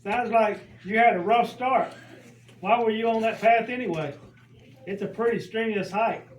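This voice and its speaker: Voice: mid-range voice, moderate pace, lo-fi sound, lightly acted. A sensible puppet guide voiced by a performer in a children's church show. The delivery is patient and instructive. It sounds roomy and distant, with faint background noise. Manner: instructive, questioning.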